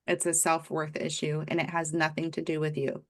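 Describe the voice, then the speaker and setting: A woman coach talks earnestly about faith and pricing, thinking out loud and using many fillers. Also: deep voice, completely flat delivery, brisk. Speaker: business coach. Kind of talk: faith-based business coaching talk.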